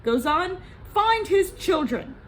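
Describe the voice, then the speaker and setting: A woman voicing a haughty, angry male president in a storybook, forceful and imperious. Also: very high voice, big pitch swings, slow pace, slight background noise, voice pushed up, full character voice.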